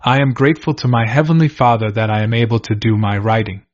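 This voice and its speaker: A man reading old first-person diary entries aloud in a measured, steady way. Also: medium pitch. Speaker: reader. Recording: slightly dull lo-fi recording.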